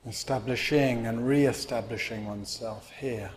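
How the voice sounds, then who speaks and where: A man teaches a guided meditation at a very unhurried pace. His voice sits at a medium pitch, and he gives measured instructions in short, spaced phrases. Noticeable room ambience runs behind him. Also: fairly even intonation. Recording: clear background noise, room ambience.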